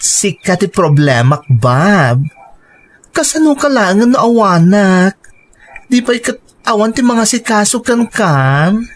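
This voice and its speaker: A woman's voice: medium pitch, very animated, slow. A woman with an Ilocano accent acting an earnest, persuasive young working woman in a radio drama. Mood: weary, complaining.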